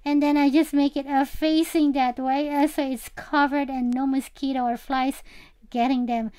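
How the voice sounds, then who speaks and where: A woman's voice: sits high, level tone, normal speed, warm tone. A woman giving a casual, chatty game tour as a channel host, speaking directly to viewers.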